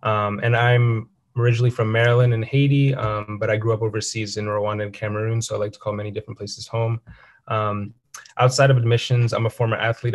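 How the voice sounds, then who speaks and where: A young man introducing himself on a student panel over a video call, steady and even with frequent fillers. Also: lowish voice. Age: young adult. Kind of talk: casual self-introduction.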